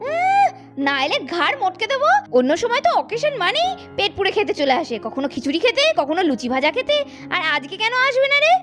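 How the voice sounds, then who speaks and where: A woman narrating a doll cartoon and voicing the young women in it, brisk and expressive. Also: very high-pitched, soft background music. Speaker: narrator and voice of many dolls.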